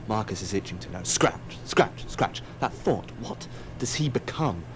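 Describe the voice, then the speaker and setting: A man plays an all-seeing, ominous storyteller in a sci-fi audio drama. His voice is on the low side, sardonic and dramatic, with clear background noise humming behind. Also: expressive, measured pace. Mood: tense, ominous. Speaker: narrator. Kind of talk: scripted audio drama narration.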